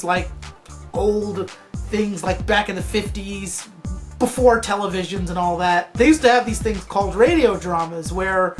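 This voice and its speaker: A woman chatting casually to viewers as a video host, in an offhand, rambling way. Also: mid-range voice.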